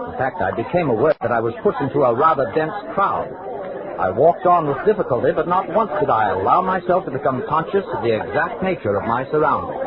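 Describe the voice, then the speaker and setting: A man's voice: medium pitch, natural voice. An actor playing a cold, precise, increasingly tormented scholar who narrates his murder journal in formal, self-important diction in an old radio drama. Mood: coldly clinical. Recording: vintage radio, narrow band, music behind.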